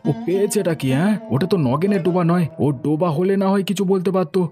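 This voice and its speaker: Man as indignant, fast-talking villager. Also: high-pitched, over music, natural voice. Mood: indignant, determined. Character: indignant, determined villager vowing to punish a bully. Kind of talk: Bengali cartoon voice acting.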